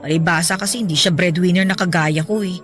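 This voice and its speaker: A woman's voice: fairly low pitch, steady tone, fast, faint music behind, natural voice. A woman with a Filipino accent playing a stressed young breadwinner who confides her heartbreak plaintively in a Tagalog radio drama. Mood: troubled, frustrated.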